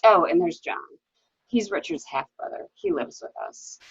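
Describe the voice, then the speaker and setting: A woman's voice: mid-range voice, very animated, moderate pace, creaky, breathy edge, no room sound, muffled recording, full character voice. A woman playing a tense, evasive wife suspected of murder, in an amateur dramatic reading of a mystery. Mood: guarded explaining. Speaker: library staff reader.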